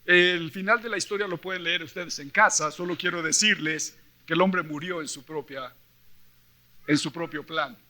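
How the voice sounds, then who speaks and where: A man with a Mexican accent preaching a sermon, reading scripture and telling personal stories in an animated, emphatic way, acting out dialogue and putting questions to his listeners. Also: fairly high pitch, normal speed. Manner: animated storyteller, acts out quoted dialogue. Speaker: preacher at a church convention.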